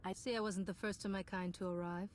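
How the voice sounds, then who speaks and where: A woman's voice: mid-range voice, steady tone, quick pace, darkish tone, slight background noise, natural voice. A woman playing an earnest, resolute Jedi hero in a video game.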